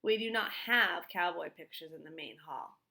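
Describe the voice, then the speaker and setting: A fussy male museum director from a storybook, voiced by a woman. The voice is bright and lifted, with a prim, bossy way of instructing. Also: fairly low pitch, normal speed, vocal fry. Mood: insistent.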